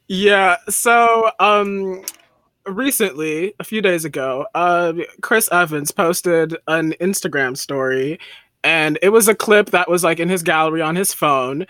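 A man with a high, bright voice co-hosts a casual podcast. He rambles through his stories with plenty of "um" and "like". The sound is dry and close. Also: slow, dry recording. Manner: chatty, rambling with many fillers. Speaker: podcast co-host.

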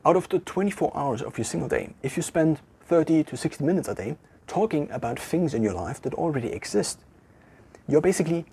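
A man speaking English with a German accent, thinking aloud to a camera in an empty room, halting and correcting himself as he goes. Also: on the high side, animated, creaky, darkish tone, slightly rough. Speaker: vlogger. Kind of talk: casual reflective monologue to camera.

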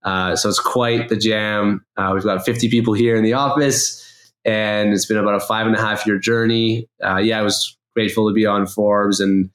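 Man, Canadian accent, lowish pitch, casual interview guest, talkative, informal, echoey room. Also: steady tone, normal speed, fairly bright tone. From Toronto, Canada. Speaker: podcast guest, company co-founder.

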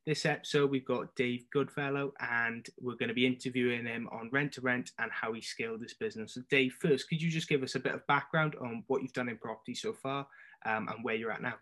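A man's voice: mid-range voice, fairly even intonation, fairly quick, fairly bright tone. A man hosting a property podcast, asking a guest questions in an upbeat, friendly way. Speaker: podcast host. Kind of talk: interviewing a guest.

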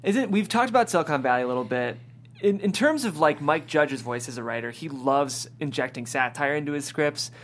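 A man's voice: a little high, expressive, brisk, a bit bright. A man hosting a casual panel discussion in an enthusiastic, informal way. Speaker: host.

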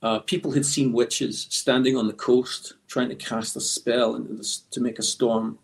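Male podcast guest, gravelly mid-pitched voice, reflective halting storyteller. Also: little pitch movement. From Scotland. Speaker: guest comic artist. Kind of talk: conversational storytelling in a podcast interview.

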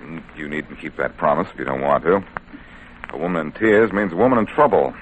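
An actor playing a suave, wry amateur sleuth who protects underdogs in an old-time radio drama, speaking smoothly. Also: male voice, very deep voice, brisk, lo-fi sound, slight background noise. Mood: wry, courteous.

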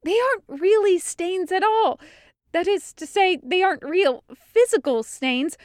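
Woman voicing an excitable, high-pitched young female mage. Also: unhurried, no room sound, clean studio sound. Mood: urgent, anxious. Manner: breathless, rambling, earnest. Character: excitable, anxious young woman mage blurting dire warnings.

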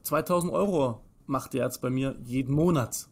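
A man's voice: mid-range voice, moderate pace, breathy edge. A man in his early thirties with an Austrian German accent, explaining things in an emphatic, instructive conversational way. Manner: emphatic, instructive, poses and answers own questions. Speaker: financial advisor, interview guest.

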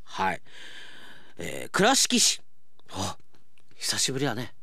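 A man's voice: on the low side, huge pitch swings, slow pace, creaky, sharp tone, dry recording, clean studio sound. A man hosting a radio segment, chatting and reading out listener mail as an announcer.

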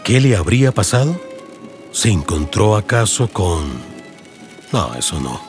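A man's voice: fairly low pitch, big pitch swings, unhurried. A man with a Colombian accent giving dramatic, scripted narration for an audiobook in radio soap style. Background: music.

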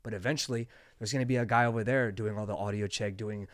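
A young man in his late twenties hosts a casual podcast chat. His voice sits a bit low, and the sound is dry and close. He talks earnestly and rambles, often restarting his sentences. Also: fairly even intonation, fast talker, no echo. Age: 20s. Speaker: podcast host.

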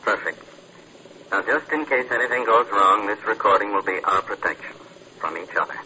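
A man's voice: fairly low pitch, normal speed, bright, forceful tone, muffled recording. A man acting a scheming, businesslike crook in an old radio drama, speaking formally and exactly. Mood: coolly businesslike. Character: scheming, businesslike small-time male crook. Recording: noisy, thin old radio recording.

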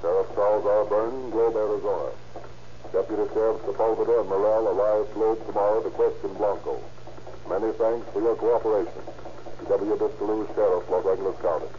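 A man's voice: fairly low pitch, fairly even intonation, a little fast, close to an everyday voice. Male actor as official dispatch reader, clipped formal delivery, vintage radio drama, dull audio. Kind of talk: telegram read aloud in radio drama. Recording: dull, noisy old radio recording.

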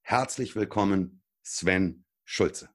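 Low-voiced enthusiastic German podcast host. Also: male voice, slow. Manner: upbeat, enthusiastic intro delivery. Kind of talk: podcast interview.

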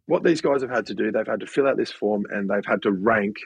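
A man's voice: fairly low pitch, fast, breathy edge, no room sound. A man on a casual podcast who mentors students and athletes and explains things steadily, often restarting his sentences.

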